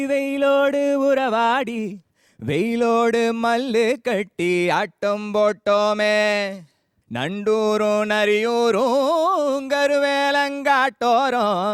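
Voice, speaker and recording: A man's voice: extremely high pitch, a bit bright, smooth, dry recording, clean studio sound. A young man singing a film song as a contestant in a talent show. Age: young adult.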